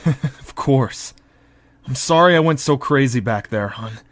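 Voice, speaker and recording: An actor playing a commanding yet affectionate young pony prince, earnest in manner, in an audio drama. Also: male voice, medium pitch, lively intonation, unhurried, a bit bright, dry recording. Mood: warm, grateful.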